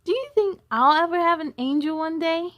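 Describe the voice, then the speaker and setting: A woman voicing an eager, affectionate little boy in a storybook, exclaiming and asking questions excitably. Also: extremely high pitch, moderate pace, dry recording, pitched above the performer's own voice. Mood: curious.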